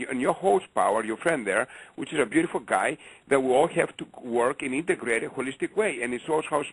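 A man's voice: medium pitch, slightly rough, no room sound. Passionate Greek-accented male caller. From Greece. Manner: passionate, emphatic, repeats himself. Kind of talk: call-in radio talk. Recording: phone line on radio show.

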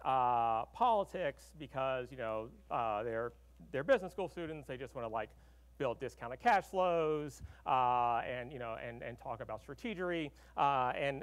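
A man's voice: a little high, smooth. A middle-aged man from Arkansas giving a conversational conference keynote, full of fillers and "right?" tags, speaking at a podium in a large hall.

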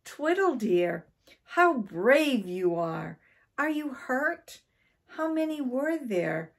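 Woman putting on a high, fretful storybook wife. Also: sing-song, slow pace, full character voice. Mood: admiring, gushing.

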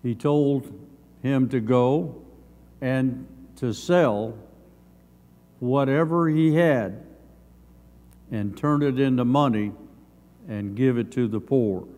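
An older man in his seventies giving a Sunday school Bible lesson, reading from notes in measured, halting short phrases. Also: mid-range voice, darkish tone. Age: older, around 70s. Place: church sanctuary, at a lectern. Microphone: gooseneck lectern microphone. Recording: long hall reverb.

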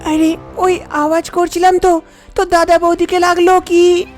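A woman's voice: extremely high pitch, level tone, normal speed, darkish tone. A woman voicing a shy, fretful young bride in a cartoon, fussing at her husband. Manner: fussing, complaining. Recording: music bed under the voice.

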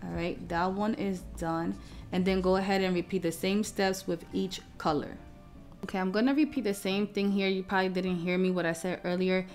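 A woman's voice: medium pitch, fairly even intonation, moderate pace, darkish tone. Woman giving a casual step-by-step tutorial, music behind. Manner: informal, step-by-step explaining. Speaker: craft tutorial host. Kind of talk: casual screen-recorded software tutorial.

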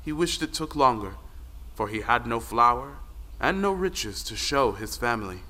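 A man's voice: mid-range voice, animated, moderate pace, bright, forceful tone. An actor playing a male storyteller who narrates an old folktale in an audio play. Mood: storytelling. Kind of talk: scripted dramatic folktale narration. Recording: long reverb, music behind the voice. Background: music.

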